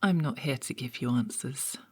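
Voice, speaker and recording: An actress playing a prim, patient art tutor in a comic audio drama, formal, measured and coolly evasive. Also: female voice, rumbling low, a loud crowd.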